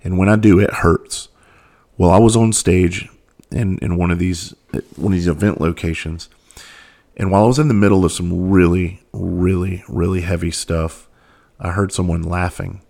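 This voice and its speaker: A very deep, low-pitched man talks straight to his listeners, hoarse from losing his voice. He is earnest and halting, restarting his sentences and dropping into quieter asides as he speaks. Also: fairly even intonation. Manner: earnest, halting with frequent restarts and filler, drops into soft asides. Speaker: podcast host and mental health speaker. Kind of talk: candid casual monologue.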